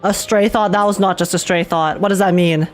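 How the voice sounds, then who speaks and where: A young woman livestreamer giving casual, expressive, gushing reactions to a game as she plays. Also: lowish voice, fairly quick. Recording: game music behind the voice. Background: game music.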